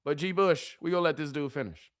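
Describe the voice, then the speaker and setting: A male stream host with a hoarse, worn voice pitched a little high. He talks to his chat in loose, animated bursts, circling back to the same catchphrases again and again. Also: fast talker. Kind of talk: casual watch-party chat.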